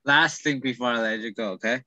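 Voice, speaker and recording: Young guy hosting, bright tense voice, kinda muffled lo-fi call sound, keeps saying like and sorry. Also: mid-range voice, brisk, dry recording. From Taiwan. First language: Mandarin. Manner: apologetic, hesitant, filler "like". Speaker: interview host. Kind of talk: casual interview over a call. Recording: dull lo-fi call audio.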